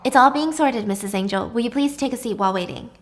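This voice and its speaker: Woman acting a polite hotel receptionist, high-pitched. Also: fast, close to an everyday voice. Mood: placating. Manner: courteous, professional, placating. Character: polite, formal hotel front desk receptionist.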